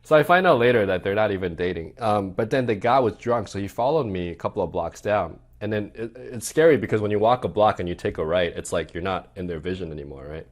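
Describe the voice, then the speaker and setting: Low-voiced host guy, chill and curious, telling a story. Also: fast, hardly any pauses. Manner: relaxed, curious, chatty. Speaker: podcast host. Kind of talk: casual interview and storytelling.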